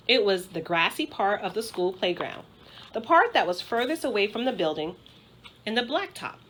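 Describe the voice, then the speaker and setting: A woman narrating a children's storybook aloud in an expressive style, like a school principal reading to pupils. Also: medium pitch, rough recording.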